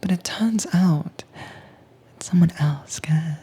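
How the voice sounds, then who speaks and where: A woman performing a whispered roleplay as a calm, commanding agent who keeps a werewolf caged, speaking in a breathy, teasing manner close to the mic. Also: on the low side. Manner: cool, teasing, unhurried. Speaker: audio drama voice actress. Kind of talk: soft-spoken whispered roleplay audio.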